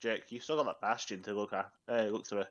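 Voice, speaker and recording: A man's voice: lowish voice, steady tone, brisk, fairly bright tone, no room sound, dull audio. A British man chatting casually while playing a game with friends, lightly mocking them. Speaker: guest player. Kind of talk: casual gaming chat.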